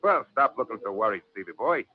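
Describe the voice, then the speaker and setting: An actor playing a smug, back-slapping campaign fixer with slick, chummy patter in an old-time radio drama. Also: male voice, on the low side, lively intonation, fast, muffled recording. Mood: smug, jovial.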